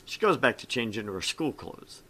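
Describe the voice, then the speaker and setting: A man's voice: mid-range voice, very animated, moderate pace, pushed and bright, slight background noise. A man hosting a casual visual novel playthrough, reading text aloud and joking around in a goofy way. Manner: goofy, jokey, switches between reading and banter. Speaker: gaming show host and reader.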